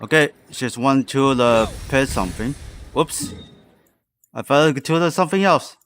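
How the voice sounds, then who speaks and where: Slow, halting male game streamer. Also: medium pitch, lively intonation, a bit bright. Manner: halting, fragmented phrasing. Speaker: game livestreamer. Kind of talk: casual live gaming commentary. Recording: background noise, clicks.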